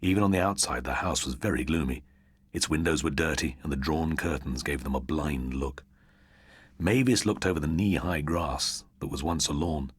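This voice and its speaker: A man's voice: extremely low pitch, moderate pace, slightly rough, dry recording. A British man narrating a ghost story for an audiobook in a dramatic, scripted style.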